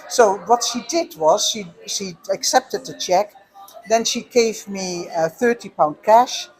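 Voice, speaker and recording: A woman's voice: medium pitch, slow pace, a little mellow, rough recording. An older woman with a Dutch accent, co-hosting a casual chat and telling reflective stories in slightly broken English. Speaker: show co-host.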